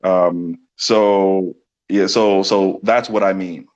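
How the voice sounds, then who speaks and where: Male guest, lower voice, earnest, thinks out loud. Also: steady tone, takes it slowly, muffled recording. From New York City. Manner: earnest, thinks aloud with ums and restarts. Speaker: guest, community organizer. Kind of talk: casual explanatory interview talk.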